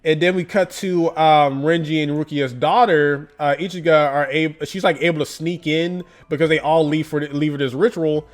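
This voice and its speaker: A man's voice: a little high, fairly even intonation, normal speed, hardly any pauses. A man giving a casual, chatty manga review, with lots of fillers and frequent laughs. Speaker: anime and manga YouTuber.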